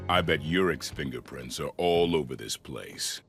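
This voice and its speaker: Game creature hero: calm, imposing, extremely low register, lively swings in pitch. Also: male voice, moderate pace, full character voice. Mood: grave, assured. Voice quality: very deep, gravelly. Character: calm, imposing adventurer creature made of ancient relics. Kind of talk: video game cutscene acting. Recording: faint music underneath.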